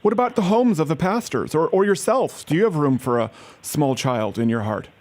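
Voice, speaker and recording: Guy with kinda high voice doing a prank call, super earnest n pushy. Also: animated, fairly quick, darkish tone. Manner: persistent, pushy, earnest-sounding. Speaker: prank caller. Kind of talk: phone conversation posing as an aid worker.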